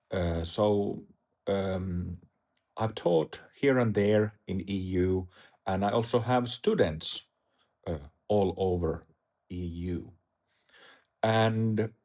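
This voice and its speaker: A middle-aged man from Finland with a Finnish accent, talking to camera as a Dharma teacher in a hesitant, halting way with many fillers. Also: on the low side, a little mellow. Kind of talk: casual talk to camera.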